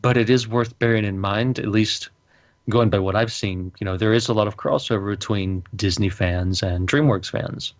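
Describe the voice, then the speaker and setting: A man co-hosting a casual podcast discussion, talking in a measured, speculative way. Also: on the low side, no echo. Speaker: podcast co-host. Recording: dull lo-fi home mic, dry.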